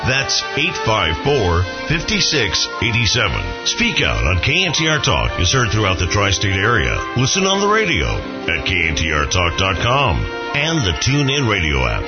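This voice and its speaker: A man's voice: on the low side, huge pitch swings, moderate pace. A man reading a scripted radio show intro with a punchy, showman build-up. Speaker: radio announcer. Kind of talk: scripted promo voice-over. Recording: lo-fi radio broadcast. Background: loud music bed.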